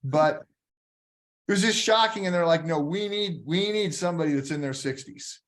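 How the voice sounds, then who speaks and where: An interview host in his sixties with a slightly raised pitch. He chats easily, tells stories, and strings his questions into several follow-ups. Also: moderate pace. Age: 60s. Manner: chatty, anecdotal, asks layered follow-up questions. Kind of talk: casual interview conversation.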